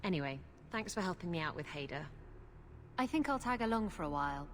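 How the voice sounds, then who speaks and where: An actress playing a brash, flirtatious pirate captain in a video game cutscene, teasing and sardonic. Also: female voice, lowish voice, lively intonation, a little fast, slight background noise, close to an everyday voice. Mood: playful, teasing.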